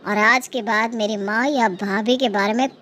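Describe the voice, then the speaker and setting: A woman's voice: on the high side, fairly even intonation, normal speed, fairly bright tone, natural voice. A woman voicing a young husband in a story, stern and reproachful as he defends his family.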